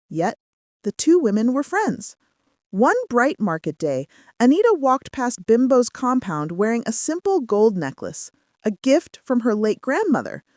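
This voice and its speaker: A female storyteller reads a scripted folktale in a fairly high voice. Her intonation swings widely as she shapes each phrase with measured drama. The recording is dry and close, free of room sound. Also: darkish tone, no echo.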